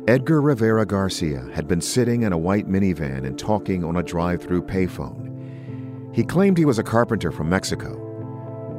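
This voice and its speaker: A male podcast narrator with a deep voice tells a scripted true-crime story. A music bed plays clearly behind him.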